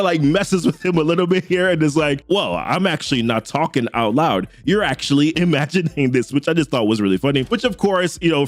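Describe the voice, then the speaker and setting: A man's voice: a little high, dry recording. A young man with a Jamaican accent, recapping a comic story in a casual, slangy way. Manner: chatty, slangy, reads character lines in quick quotes. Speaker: comic book channel host.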